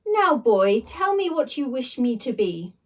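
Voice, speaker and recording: Storybook slime monster, played by a woman: posh, booming, playful grandee, high and very animated. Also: unhurried, full character voice. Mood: grand, eager. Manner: grand, theatrical, exclamatory. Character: huge blobby slime creature, posh, booming, playful, dramatic.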